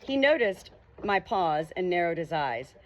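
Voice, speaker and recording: A woman's voice: lowish voice, very animated, a little slow, slight background noise. A woman narrating an audiobook novel dramatically in the first person.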